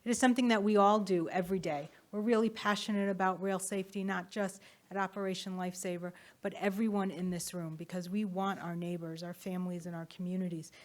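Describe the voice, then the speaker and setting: A woman's voice: fairly low pitch, fairly even intonation, quick pace, few pauses. A woman moderating a conference panel, speaking semi-spontaneously to speakers and delegates.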